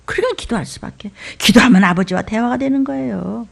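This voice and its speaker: A middle-aged woman pastor with a Seoul accent, preaching a sermon in an emphatic, rhythmic way, dropping to whispers and rising to shouts. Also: a little high, quick pace, vocal fry. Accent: Seoul dialect.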